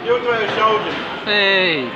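A man filming his son bowling on his phone, cheering every shot with excited praise. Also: high-pitched, unhurried, highs cut off. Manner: encouraging, exclaims praise. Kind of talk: cheering home video. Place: large indoor bowling alley. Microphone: phone camera held by the speaker. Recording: loud background noise.

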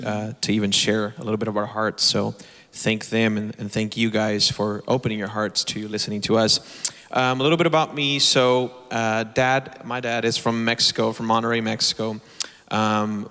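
A man in his thirties speaks to a church congregation in an upbeat, grateful way, with quick asides. His bright, forceful voice rings out in a long hall reverb from a handheld stage mic. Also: on the low side. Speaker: church drummer. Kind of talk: introducing himself to a congregation. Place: church stage before an audience.

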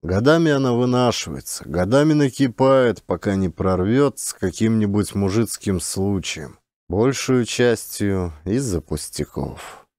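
A man's voice: on the low side, lively intonation, unhurried, darkish tone, no room sound, studio quality. A man reading a classic literary story as scripted audiobook narration.

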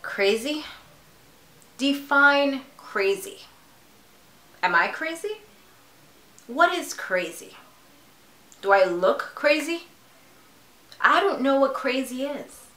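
A woman acts out a grieving mother in a dramatic monologue, defensive and pleading. Her voice is high and slow-paced, halting with emotion, in a roomy, echoey space. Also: animated, bright, forceful tone, full character voice. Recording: echoey room.

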